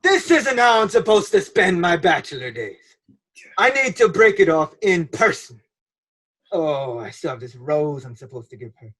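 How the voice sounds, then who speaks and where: A man's voice: a little high, sing-song, moderate pace, fairly bright tone, rough recording. A man playing a fussy, put-upon fairy-tale fellow, emphatic and theatrical, in an irreverent radio play.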